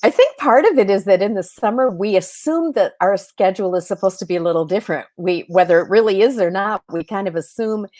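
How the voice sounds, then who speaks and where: A woman's voice: medium pitch, a little fast, hardly any pauses, darkish tone, no echo. A woman speaking as an interview guest in a reflective, conversational style, with an earnest, thoughtful way of explaining things.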